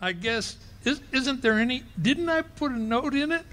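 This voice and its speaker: A boastful, bumbling husband full of bluster and stammers, played by a man on a ballroom stage. The voice sits high for a man, in old-time radio comedy style. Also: expressive, normal speed, bright, forceful tone, heavily acted. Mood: flustered. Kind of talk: 1940s radio acting.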